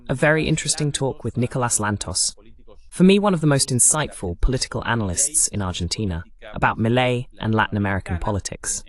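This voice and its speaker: Animated man hosting political commentary. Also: medium pitch. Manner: argumentative, rhetorical questions, emphatic repetition. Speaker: political show host.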